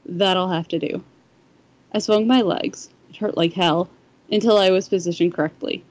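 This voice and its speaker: A woman's voice: on the low side, steady tone, moderate pace, darkish tone. A woman reading a first-person story aloud on a podcast, sometimes stumbling over the words. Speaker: fan fiction podcast reader.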